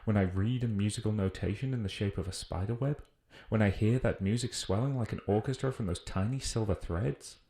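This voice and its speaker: Deep, mellow British naturalist played by a man. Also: gravelly. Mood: musing, earnest. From United Kingdom. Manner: formal, deliberate, philosophical. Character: earnest, musing man, a naturalist reporting nature's secret messages. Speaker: voice actor. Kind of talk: scripted monologue as a field report. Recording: roomy, echoing voice recording.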